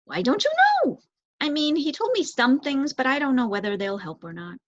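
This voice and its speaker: A woman's voice: sits high, expressive, moderate pace, muffled recording, voice pushed up. A woman voicing an anxious, puzzled mother mouse in a children's storybook, earnest and hesitant. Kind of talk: children's storybook read aloud.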